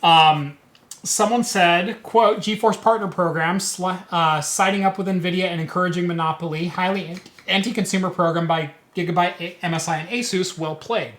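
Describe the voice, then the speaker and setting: A man hosting a relaxed tech talk show, chatting casually and reading quotes out loud with a joking edge. His voice sits fairly high and sounds bright. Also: normal speed. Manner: reads quotes aloud, joking. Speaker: podcast host. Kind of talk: casual chat.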